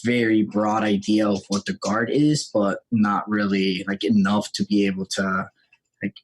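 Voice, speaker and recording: A man's voice: lowish voice, little pitch movement, unhurried, low-quality recording. A young man from Rico, telling his story informally as a podcast guest. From Puerto Rico. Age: young adult. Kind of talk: casual chat, telling personal story.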